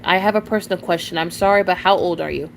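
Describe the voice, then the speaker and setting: A woman's voice: on the low side, fast talker, vocal fry, a little hiss or hum. A nineteen-year-old woman streaming live, rambling casually, chatting with viewers and often giggling and restarting her sentences.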